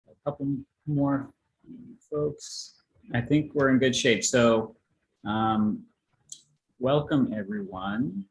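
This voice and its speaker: A man chairing an informal online meeting, speaking in a hesitant, casual and accommodating way. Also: mid-range voice. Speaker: meeting chair, medical director. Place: indoor room on video call. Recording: roomy echo, faint noise.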